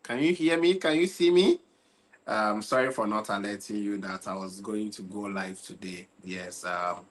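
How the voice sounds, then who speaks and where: A fairly low-voiced young man talks into a desk mic on his own livestream. He sounds tired and relaxed, repeats himself and keeps checking whether viewers can hear him. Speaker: livestream football talk host. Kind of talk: casual livestream chat.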